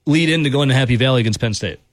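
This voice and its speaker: A man hosting a sports radio show, lively and teasing with callers. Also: mid-range voice. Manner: lively, teasing, quick to joke. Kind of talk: casual sports talk.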